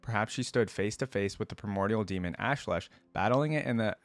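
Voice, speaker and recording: A man's voice: on the low side, fairly quick, no echo. A man hosting a gaming reaction video, moving between casual, think-aloud commentary and reading text aloud in a conversational way. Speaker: video host.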